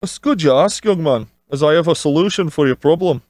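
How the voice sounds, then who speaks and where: A man voicing a crafty, smooth-talking Scottish sea captain in an audio storybook, with wheedling salesman's patter. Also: on the high side, a little fast, a little mellow, dry recording, voice pushed up, natural voice. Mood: sly, persuasive. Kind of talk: audiobook character dialogue.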